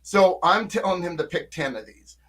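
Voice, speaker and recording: A man's voice: high-pitched, normal speed, fairly bright tone. An older man who runs a marketing agency, talking casually and emphatically in an interview, often checking in with "right?". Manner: talkative, emphatic, frequent "right?" check-ins.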